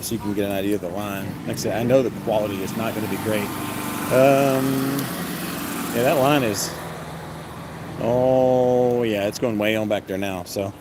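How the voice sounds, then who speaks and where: A man's voice: fairly low pitch, noisy background. A man livestreaming from an outdoor festival line in a casual, rambling way, with lots of fillers, restarts and self-deprecating jokes. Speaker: vlogger streaming live. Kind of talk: casual live stream commentary. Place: outdoors in a long festival queue near traffic. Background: crowd chatter.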